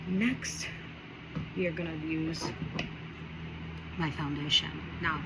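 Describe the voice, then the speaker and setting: A woman talking casually through a budget makeup tutorial, chatty and rambling with repeated warnings. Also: low-pitched. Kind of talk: casual how-to chat. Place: home, indoors by a window. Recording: loud vehicle noise behind.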